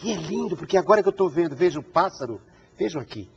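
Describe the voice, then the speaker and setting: A man's voice: fairly high pitch, lively intonation, fast talker, a crowd in the background. A man hosting an interview, often repeating and rephrasing his guest's words and asking leading questions. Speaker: TV interview host. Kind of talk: conversational interview. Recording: dull lo-fi broadcast audio.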